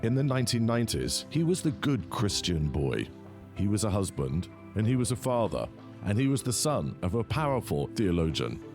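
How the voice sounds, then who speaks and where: A man hosting a podcast, reading a scripted introduction and then asking measured interview questions. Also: fairly low pitch, very animated, over music. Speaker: podcast host.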